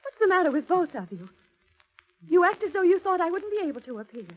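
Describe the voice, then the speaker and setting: An actress playing a fragile, high-strung wife in a 1940s radio drama, theatrical and pleading. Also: female voice, big pitch swings, fast. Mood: desperate. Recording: muffled vintage 1940s radio recording.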